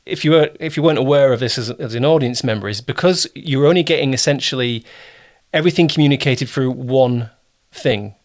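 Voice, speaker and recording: A man from Kent with a southern English accent, hosting a conversational interview in a chatty, reassuring way and often pausing to explain things. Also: medium pitch. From Kent, southern England. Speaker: interview host, producer and director.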